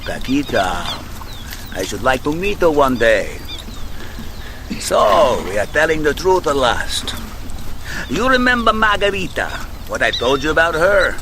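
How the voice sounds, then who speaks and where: An actor playing a proud, middle-aged Uruguayan rebel general who speaks in a measured, solemn way with dry understatement, in a radio drama. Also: male voice, fairly high pitch, sing-song, background noise. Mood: gentle, earnest. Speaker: army general leading a hopeless stand. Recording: radio drama with ambient sound effects.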